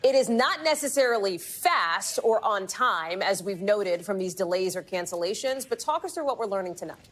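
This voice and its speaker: A woman's voice: mid-range voice, animated, moderate pace, a bit bright. An American woman anchoring live news in a conversational broadcast style.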